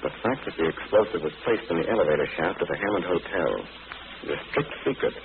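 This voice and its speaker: An actor in an old radio drama playing a grave, deliberate amateur detective. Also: male voice, low-pitched, animated. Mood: grave, guarded. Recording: tinny, noisy old radio recording.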